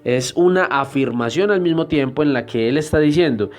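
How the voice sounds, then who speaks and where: A man giving a church history lesson in a deliberate, explanatory way, often pausing with hesitation fillers. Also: medium pitch. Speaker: Bible teacher.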